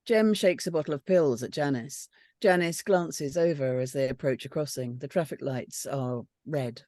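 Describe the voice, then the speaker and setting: A woman narrates stage directions from a script. Her pitch is a little low for a woman. The recording is very dry, with hardly any room sound. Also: normal speed, warm tone, no room sound. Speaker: script panel reader reading stage directions.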